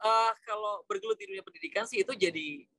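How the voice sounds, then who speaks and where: A man from Indonesia talks as a guest in an informal storytelling way. His voice is fairly high and bright, and he moves along quickly, slipping in English words. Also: very animated, creaky, dry recording. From Cirebon, West Java, Indonesia. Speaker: interview guest, Islamic school educator. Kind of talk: conversational personal storytelling.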